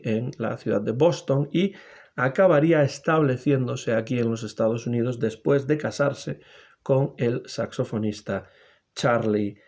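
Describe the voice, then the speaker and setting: A man giving a measured, unscripted commentary on a jazz musician, pausing often with hesitant fillers. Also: mid-range voice, a little mellow.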